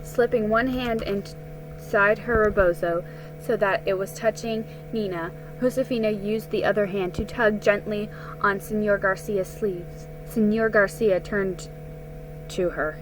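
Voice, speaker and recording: A woman giving a deliberate dramatic reading of a story, with pauses. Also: medium pitch, steady tone, over music, poor audio. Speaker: storybook reader. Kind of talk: scripted dramatic story narration.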